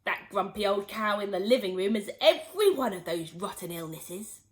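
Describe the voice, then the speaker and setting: A woman's voice: medium pitch, animated, normal speed, natural voice. A woman voicing a mischievous young boy who mutters gleefully about dosing his grandmother.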